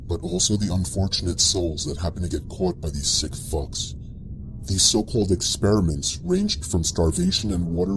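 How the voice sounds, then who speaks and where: Deep-voiced man narrating horror stories. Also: noisy background. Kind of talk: scripted first-person scary story narration. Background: music.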